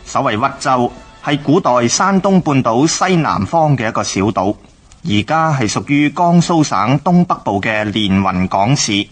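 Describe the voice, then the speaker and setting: A man narrates a historical radio drama. His mid-pitched voice moves expressively as he explains things in a measured, scripted way. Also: expressive, breathy edge, faint music behind.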